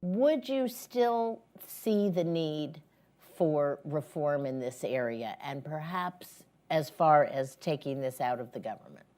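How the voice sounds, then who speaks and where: Low-voiced woman moderating a panel. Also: expressive, takes it slowly, a little mellow. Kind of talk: moderating, putting questions to panelists. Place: live panel event before an audience.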